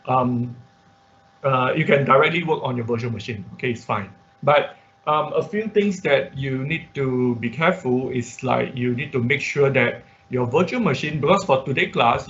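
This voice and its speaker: Male online workshop instructor, informal and halting, frequent check-in tags, middling pitch, dull lo-fi class audio. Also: fairly bright tone. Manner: halting, repeats words, frequent checking tags like "okay?" and "right?". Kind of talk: informal live tutorial with screen sharing.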